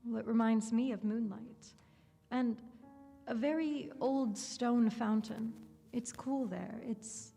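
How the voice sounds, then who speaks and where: In an echoing hall, an actress plays a secretive, romantic young woman with a mellow, slightly high voice. Her phrasing is dreamy and sensual, with the intensity of a radio drama temptress. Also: slow, creaky, faint music behind. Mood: dreamy, hypnotic. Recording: echoey hall with long reverb.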